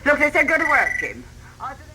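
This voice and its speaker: A woman's voice: high-pitched, huge pitch swings, fast talker, background noise. A woman playing an exasperated, injured housewife grumbling irritably at her husband.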